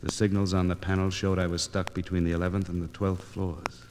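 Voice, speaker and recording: An actor playing a grim, wry newspaper reporter in 1940s radio noir style, with terse, clipped, dramatic phrasing. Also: male voice, low-pitched, little pitch movement, a little fast, natural voice. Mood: urgent, tense. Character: hard-boiled male newspaper reporter, grim, wry and determined. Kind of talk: 1940s radio noir acting, first-person narration. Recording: crackly, noisy 1940s radio transcription disc.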